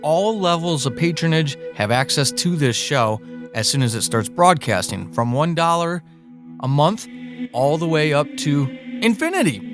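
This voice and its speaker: A man's voice: fairly high pitch, animated, normal speed, over music. A man hosting a podcast, speaking in an encouraging way straight to his listeners. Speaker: podcast host. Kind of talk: conversational interview with promotional narration.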